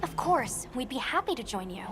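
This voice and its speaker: High-voiced earnest female researcher. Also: sing-song, normal speed, music in the background. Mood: curious, earnest. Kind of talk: video game cutscene voice acting.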